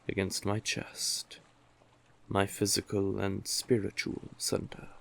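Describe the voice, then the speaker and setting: A man's voice: on the low side, gravelly, exaggerated voice. A man playing a cursed, tentacled male sea witch in an audio roleplay, coaxing and stately, doting yet commanding. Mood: coaxing, patient. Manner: coaxing, stately, elaborately worded. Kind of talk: scripted audio roleplay addressed to the listener. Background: ambient sound effects.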